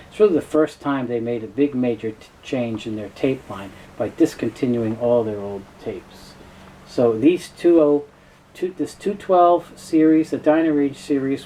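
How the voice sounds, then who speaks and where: A middle-aged man explains product history in a soft, mellow tone at a medium pitch. His delivery is informal and halting, with pauses and self-corrections. Clear background noise sits behind his voice in a small office. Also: poor audio. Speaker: presenter, tape specialist. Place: small cluttered indoor office. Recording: noticeable background noise, room ambience.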